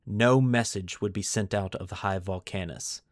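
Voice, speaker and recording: A man's voice: lowish voice, normal speed, no room sound, clean studio sound. A man hosting a podcast, moving between storytelling narration and casual discussion. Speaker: podcast host.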